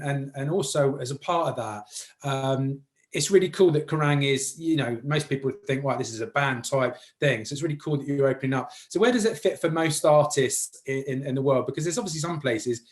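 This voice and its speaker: A man's voice: mid-range voice, fairly quick. A man interviewing a guest casually, hesitating and repeating words as he phrases his questions.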